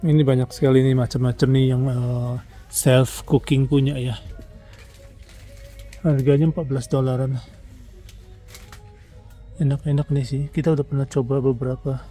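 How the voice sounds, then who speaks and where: A man's voice: mid-range voice, little pitch movement, moderate pace, long pauses, dark tone, soft background music. A man from Indonesia talking casually to viewers while filming a shopping trip, pointing out prices as he walks. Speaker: vlogger. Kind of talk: casual shopping vlog narration. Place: shops and street outdoors.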